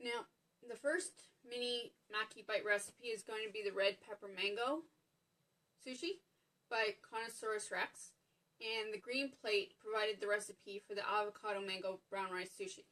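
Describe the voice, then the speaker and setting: A woman's voice: mid-range voice, steady tone, moderate pace, bright, forceful tone, rough recording. A woman with a Canadian accent hosting a live cooking demonstration from her home kitchen, chatty and apologetic, greeting viewers by name as she talks them through each step. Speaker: cooking show host.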